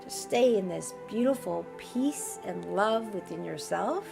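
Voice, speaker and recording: A woman with a raspy voice hosting a live group healing call. She speaks in a gentle, reassuring and informal way. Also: medium pitch, lively intonation, unhurried. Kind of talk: live-streamed conversational healing talk. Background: faint background music.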